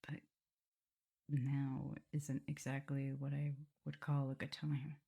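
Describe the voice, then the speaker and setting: A woman playing an affectionate, teasing vampire mistress in an intimate ASMR roleplay, speaking softly and tenderly with a breathy, husky voice. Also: extremely low pitch, monotone, exaggerated voice. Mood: tender, apologetic. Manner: soft, unhurried, tender, gently teasing. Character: affectionate, teasing female vampire mistress and professor, doting lover.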